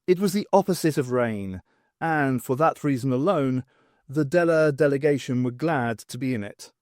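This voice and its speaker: A man's voice: mid-range voice, moderate pace, a little mellow, no room sound, clean studio sound. A man reading scripted narration from a science fiction audiobook.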